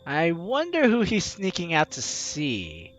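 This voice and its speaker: A video game host with an animated, teasing, exclamatory way of talking, reading aloud and commenting playfully. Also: medium pitch, unhurried, faint music behind. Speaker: let's-play video host.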